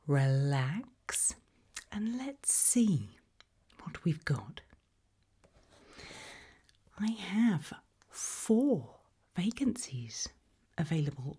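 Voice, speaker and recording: A British woman doing an ASMR roleplay in a breathy whisper, putting soft questions to the listener with deliberate pauses. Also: low voice. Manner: slow, deliberate, with long pauses.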